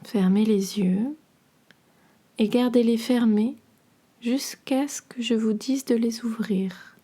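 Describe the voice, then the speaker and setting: A woman with a fairly high, softly breathy voice leads a guided relaxation session. Her delivery is very slow and measured, with a dark, velvety tone. She gives each instruction soothingly. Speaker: podcast host and yoga nidra guide.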